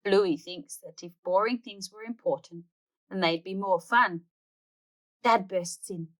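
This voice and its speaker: A woman's voice: medium pitch, measured pace, fairly bright tone, rough recording. A woman hosting a read-aloud, narrating a children's storybook expressively.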